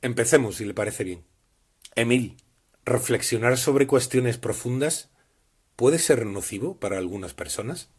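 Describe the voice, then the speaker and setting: A man's voice: medium pitch, expressive, a little slow, breathy edge. A man hosting a scripted interview in a formal, courteous manner. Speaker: host and interviewer.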